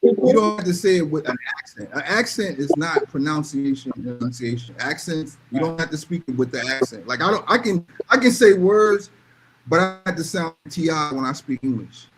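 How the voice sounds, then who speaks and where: A man's voice: fairly high pitch, expressive, normal speed, low-quality recording. A man talking casually and bluntly, giving emphatic opinions and repeating phrases to drive points home. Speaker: podcast panelist.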